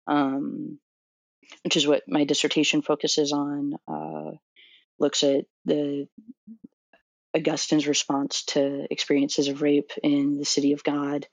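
A woman's voice: deep voice, darkish tone, no echo, highs cut off. A woman in her thirties from Florida, a doctoral student answering interview questions thoughtfully, with many fillers and restarts. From Florida, USA.

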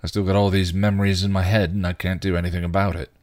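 A man voicing a bitter, guilt-ridden male vampire, rough and full of crude slang. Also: sits low, level tone, quick pace, a little mellow, dry recording, clean studio sound, natural voice. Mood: angry. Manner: snarling, rough, clipped slang. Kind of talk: fan fiction reading.

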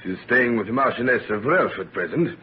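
An actor playing a genteel, discreet middle-aged husband, tactful and proper, in an old-time radio drama. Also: male voice, medium pitch, lively intonation, fairly quick, natural voice. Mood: hesitant, guarded. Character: genteel, discreet, fatherly husband of older generation. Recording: noisy, narrow-band vintage radio recording.

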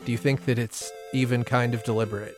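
A man's voice: medium pitch, flat delivery, quick pace, soft background music. A man speaking as a podcast guest in a casual, conversational style. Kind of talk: casual chat.

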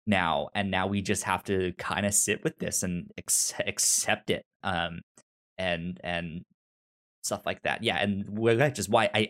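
A man with a stutter, talking casually and haltingly as he co-hosts a podcast discussion. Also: fairly low pitch, fairly bright tone. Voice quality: stutter, repeating sounds and syllables. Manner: halting, searching for words. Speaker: podcast co-host reviewing an audio drama.

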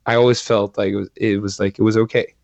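Low-voiced man, lo-fi line. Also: little pitch movement, fast, gravelly, a little mellow, no echo. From Sweden. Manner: thoughtful, hesitant, many fillers like "like" and "um". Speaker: entrepreneur and angel investor. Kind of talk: interview guest.

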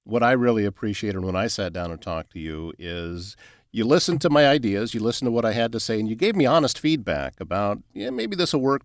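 A man's voice: fairly low pitch, no echo, clean studio sound. A man hosting a podcast, interviewing guests in a conversational style. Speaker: podcast host.

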